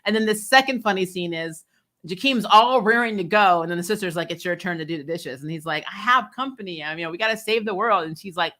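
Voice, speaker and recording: A woman with a fairly low, bright and forceful voice hosts a casual recap. She is blunt and opinionated, retelling scenes and dialogue in chatty fashion, unafraid of mild swearing. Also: quick pace. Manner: animated retelling, acting out dialogue, prone to chuckling; blunt, opinionated, mildly profane. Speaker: host of a TV recap show. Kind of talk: casual episode recap and commentary.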